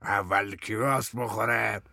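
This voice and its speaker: A man's voice: lowish voice, steady tone, creaky, pushed and bright, no echo, studio quality, pitched below the performer's own voice, heavily acted. A man voicing a sullen, ragged old father-figure who lectures like a stern schoolmaster in an audiobook story. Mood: grumpy. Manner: stern, deliberate mock-schoolmaster delivery.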